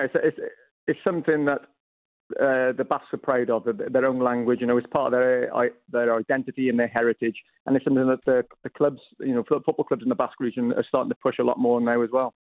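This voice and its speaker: A man's voice: mid-range voice, level tone, fairly quick, no room sound. A Welsh man, an author guest on a radio phone-in, talking enthusiastically with many hesitations and repeated words. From Wales. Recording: tinny phone line.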